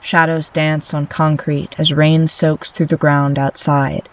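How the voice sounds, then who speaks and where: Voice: medium pitch, little pitch movement, slow, a little hiss or hum, close to an everyday voice. An actor playing an anxious, introspective young adult in an audio drama, both narrating and in dialogue. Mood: hushed, ominous.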